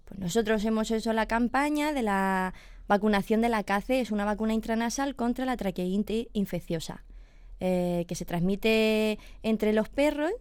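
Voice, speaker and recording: A woman's voice: mid-range voice, darkish tone, clean tone, dry recording. A woman, a veterinarian explaining pet illnesses patiently on a radio chat, checking in with her listener as she goes. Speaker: veterinarian guest.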